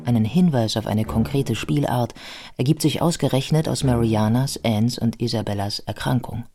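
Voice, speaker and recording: A man narrating a scripted biographical radio play in a measured, scholarly manner. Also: mid-range voice, mellow, faint music behind, natural voice.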